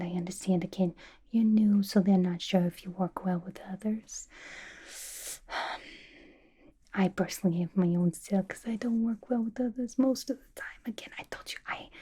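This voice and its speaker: A woman voicing a playful, unhinged young villain inmate in a chummy, slangy ASMR roleplay, mostly in a breathy whisper. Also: medium pitch, mellow, lightly acted. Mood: reassuring, chummy.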